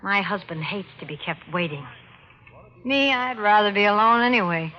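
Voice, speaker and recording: An actress playing a tipsy, sardonic young wife in a 1940s radio drama. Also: female voice, mid-range voice, animated, normal speed, lightly acted. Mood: irritated, sulky. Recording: muffled, faintly noisy old radio recording.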